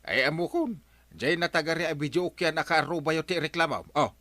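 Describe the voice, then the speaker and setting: A man's voice: on the high side, lively intonation, fairly quick, sharp tone, no echo. A man with an Ilocano accent playing a flustered, bribe-taking village captain in a radio comedy drama.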